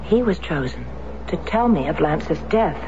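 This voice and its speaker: A woman's voice: sits low, animated, a little slow. An actress playing a determined grieving widow in her thirties who speaks firmly and earnestly, in 1970s radio drama style. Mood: wistful. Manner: firm, pressing, earnest. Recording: muffled lo-fi radio broadcast with faint hiss.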